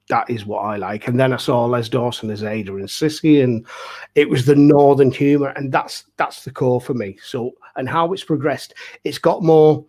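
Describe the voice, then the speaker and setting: A middle-aged man, telling anecdotes casually and self-deprecatingly as an interview guest. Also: mid-range voice. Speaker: pantomime dame performer, interview guest.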